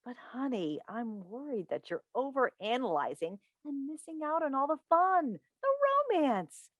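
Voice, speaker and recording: A woman's voice: high voice, sing-song, a little slow, no room sound, muffled recording, full character voice. A woman in a staged play reading, playing a chatty, coaxing radio call-in host and doting, meddling mother with an emphatic theatrical delivery. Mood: coaxing, teasing. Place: indoors on a video call. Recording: recorded over video call.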